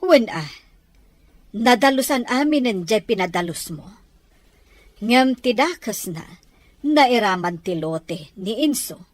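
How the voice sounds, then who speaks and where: A woman's voice: fairly high pitch, lively intonation, slow, pauses often, no echo. A woman acting a concerned wife in a radio drama, with an Ilocano accent. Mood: confronting, worried. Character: Mirna, concerned wife confronting Pedro about boundary.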